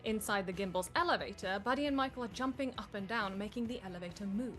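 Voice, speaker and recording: A woman's voice: mid-range voice, fast talker, fairly bright tone. Female narrator, mid-range voice, scripted table read narration, faint music behind. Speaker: narrator reading stage directions.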